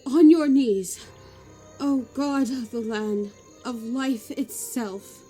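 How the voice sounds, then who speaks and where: A woman's voice: a little high, slow pace, dark tone, faint music behind. A woman acting a fierce, resolute leader of a fantasy people, with a firm and blunt manner. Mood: awed. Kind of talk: fantasy audio drama acting.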